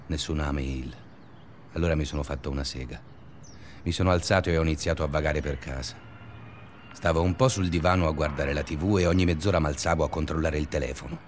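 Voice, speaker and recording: An actor playing an obsessive, restless male schoolteacher in a confessional radio drama monologue, speaking deliberately in short phrases. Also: a bass voice, slight background noise. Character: obsessive, restless male schoolteacher narrating his sleepless days.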